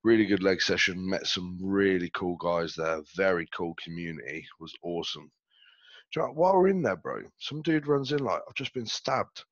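A man's voice: low-pitched, expressive, moderate pace, no room sound, dull audio. A man co-hosting a casual podcast while stuffy and under the weather, talking in a laid-back, slangy, rambling way. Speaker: podcast co-host. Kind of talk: casual chat. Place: reclined in a chair at home. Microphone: headset.

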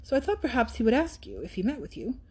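A woman's voice: a little high, wildly swooping pitch, fast, darkish tone, slightly rough, full character voice. A woman voicing a placid older married lady in a period storybook, mild and genteel, rambling along kindly.

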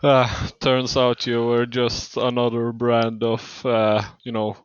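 A man chatting casually with dry, deadpan jokes. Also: medium pitch, slow, smooth, no room sound. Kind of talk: casual chat. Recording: dull, dry lo-fi recording.

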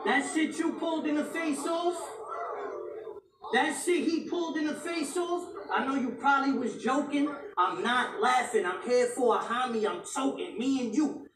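Woman battle rapper, high and shouty, caught echoey and off-mic at a live venue. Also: moderate pace, far from the mic, a crowd in the background. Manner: aggressive, shouting taunts, repeating lines. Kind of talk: battle rap performance.

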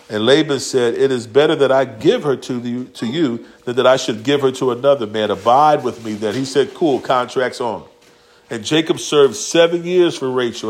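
A man preaching a casual, slangy sermon in a church, acting out quotes and talking directly to the congregation. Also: mid-range voice. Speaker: pastor. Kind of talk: conversational sermon.

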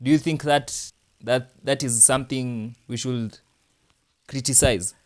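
A man's voice: mid-range voice, steady tone, a little slow. Casual male podcast co-host asking questions. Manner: drives the talk with questions. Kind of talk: casual chat.

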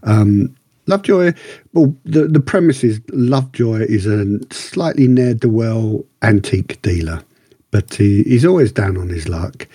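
Low, mellow man, rambling casually. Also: animated, a little slow, slightly rough, no room sound, studio quality. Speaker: podcast co-host. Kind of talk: casual review chat.

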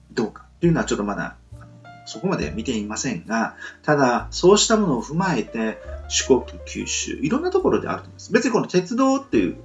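Male podcast host, reflective and polite, creaky voice with lively intonation, rambling through a casual monologue. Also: fairly high pitch. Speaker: solo podcast and radio show host. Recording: muffled lo-fi, soft guitar music behind. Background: plucked guitar music.

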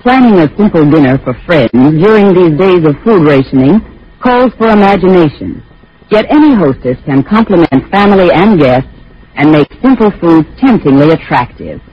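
Lilting, husky man in vintage radio ad. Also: on the high side, animated, normal speed, dull audio. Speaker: advertisement speaker. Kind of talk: scripted 1940s radio endorsement.

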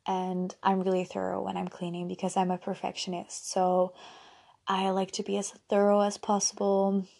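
A young woman whose first language is Dutch talks through her routines in a loose, rambling podcast chat. Her voice has a gravelly fry and a husky, breathy edge. She drifts into giggly asides as she goes. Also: medium pitch, monotone, moderate pace, warm tone. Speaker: podcast host. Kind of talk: casual solo podcast chat.

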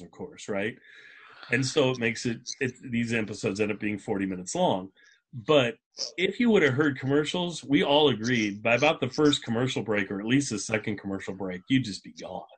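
Husky-voiced man hosting a casual podcast. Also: mid-range voice. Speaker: podcast host.

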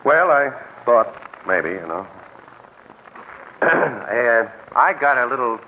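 An actor playing a wry, streetwise American crime photographer in a 1940s radio drama, with a hesitant, confiding, slangy delivery. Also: male voice, mid-range voice, big pitch swings, takes it slowly. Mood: sly, confiding. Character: wry, sly male crime photographer, quick-thinking and streetwise. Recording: scratchy, tinny old radio broadcast.

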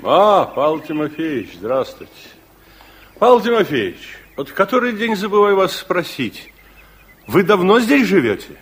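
An actor playing an elderly, earnest war veteran reminiscing in a theatrical, declamatory 1960s radio-play style with deliberate pauses. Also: male voice, high-pitched, big pitch swings, husky, full character voice. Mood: courteous, probing. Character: elderly reflective war-veteran grandfather looking back on his life. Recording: 1960s radio drama recording with music.

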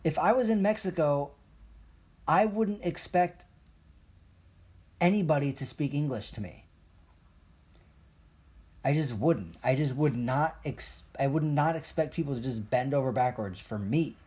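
Husky man ranting casually. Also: fairly high pitch, unhurried, long pauses, a little mellow. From Colombia. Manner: profane, jokey, self-deprecating ranting. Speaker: podcast host. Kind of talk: casual rambling monologue.

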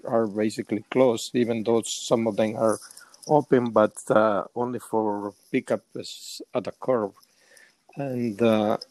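A man of sixty-five from El Salvador with a Salvadoran Spanish accent, giving casual, halting answers as an interview guest. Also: mid-range voice, dark tone, dry recording, poor audio. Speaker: interview guest, semi-retired.

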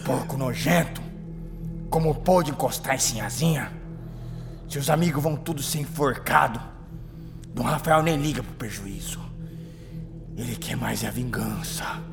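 Sadistic plantation henchman, gravelly voice, Brazilian accent, sneering, menacing, radio drama, music underneath. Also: mid-range voice. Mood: gloating, menacing. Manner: sneering, drawn-out insults. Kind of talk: radio drama villain acting.

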